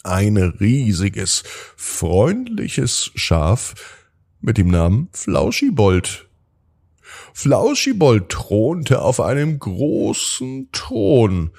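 A man's voice: on the low side, huge pitch swings, very slow, creaky, a little mellow, slightly rough. A man narrating a children's bedtime story in a soothing manner, drawing out words for emphasis.

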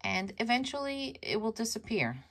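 A woman's voice: on the high side, big pitch swings, normal speed, a bit bright. A woman whose first language is Spanish, hosting a casual worm composting vlog at home, chatty and self-laughing, repeating her words as she shows her bin. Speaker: worm composting channel host. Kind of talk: casual show-and-tell vlog.

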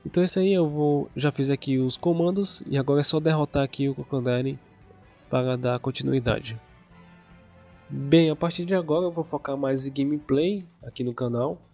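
A hoarse young man with a cold, casually talking through gameplay as a gaming youtuber. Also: mid-range voice, moderate pace. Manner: casual, relaxed chatty narration. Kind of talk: gameplay commentary. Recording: voice over game music.

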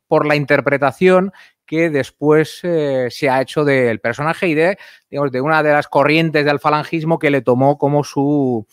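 A man speaking from the floor at a book presentation, pausing often and filling gaps as he searches for words. His voice is smooth and resonant, and it comes through a stand microphone with a dry, close sound. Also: on the high side, no room sound. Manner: hesitant, many fillers. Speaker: guest from the audience. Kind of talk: hesitant comment from the floor. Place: library hall, indoors.

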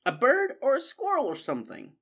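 An elderly male mouse from a storybook, reedy and quavering. The voice is pitched far up into a thin, high register. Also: huge pitch swings, fairly quick, fairly bright tone, full character voice. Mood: dry. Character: old male mouse, frail-eyed sage.

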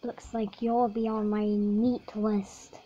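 A woman's voice: mid-range voice, little pitch movement, slow, mellow. A woman reading out character voice lines in a menacing role-play manner, on an amateur recording. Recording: noisy, muffled amateur recording.

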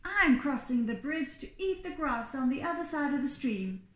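A woman's voice: sits high, lively intonation, normal speed, fairly bright tone, reverberant. A woman voicing a big, bold male billy goat in a storybook. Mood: firm.

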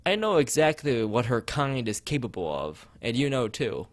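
A man's voice: medium pitch, sing-song, moderate pace, no room sound. A man streaming as he reads a visual novel aloud and chats with viewers, often stumbling and rereading phrases. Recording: background music behind voice.

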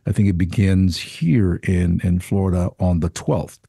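A man's voice: low-pitched, unhurried, creaky, slightly rough, no room sound, clean studio sound. A man, a senior pastor visiting as guest, talking casually and repeating words as he gathers his thoughts. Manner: warm-hearted, repeats words while thinking. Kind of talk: casual podcast conversation.

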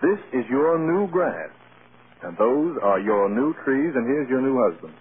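A man's voice: mid-range voice, big pitch swings, a little slow. An actor playing a proud, charming, fading male movie star with a wry, teasing manner in a vintage radio drama. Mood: tender. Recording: scratchy, tinny old radio broadcast.

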